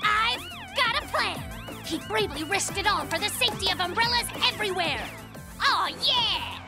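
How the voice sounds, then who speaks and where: A woman voicing an excitable young boy bear in a cartoon, melodramatic and self-important like a detective. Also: extremely high pitch, moderate pace, loud music behind the voice, heavily acted. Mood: excited, urgent. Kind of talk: cartoon dubbing with mock-noir narration.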